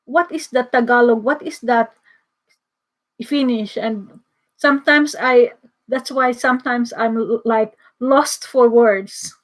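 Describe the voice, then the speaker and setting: A middle-aged Filipino woman with a noticeably Filipino accent, first language Bisaya, chatting on a livestream at an unhurried, drawn-out pace. Her voice sits fairly high, and she laughs easily and often backs up to restart her sentences. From Philippines. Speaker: livestream host. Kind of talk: casual livestream chat reading comments.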